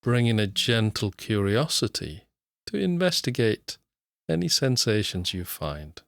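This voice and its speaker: Slow, soothing male meditation guide. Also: on the low side, gravelly, no room sound, studio quality. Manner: slow, calm, soothing, with long pauses. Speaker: mindfulness teacher. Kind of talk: guided body scan meditation.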